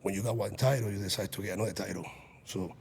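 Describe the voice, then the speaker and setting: A man's voice: lowish voice, fairly even intonation, quick pace, gravelly, a little mellow, slight background noise. A man in his late thirties, an executive protection agent and business owner, talking earnestly in a casual podcast chat with frequent restarts. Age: 30s.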